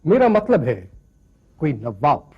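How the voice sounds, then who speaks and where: An actor playing a pompous, easily offended colonial gentleman in a screen drama, haughty and declamatory. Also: on the high side, wildly swooping pitch, slow pace, vocal fry, breathy edge, lo-fi sound, heavily acted. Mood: condescending. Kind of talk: theatrical screen drama acting.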